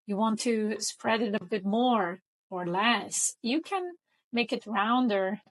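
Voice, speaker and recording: A woman whose first language is Portuguese, hosting a software tutorial and explaining each step in a chatty way, often checking in with the listener. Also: medium pitch, slow pace. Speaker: tutorial host. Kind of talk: screen-recording software tutorial.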